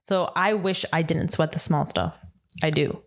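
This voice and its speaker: A woman's voice: deep voice, normal speed, creaky. A woman from Chicago, co-hosting a casual podcast chat, talking emphatically and standing by her point. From Chicago, United States. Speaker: co-host.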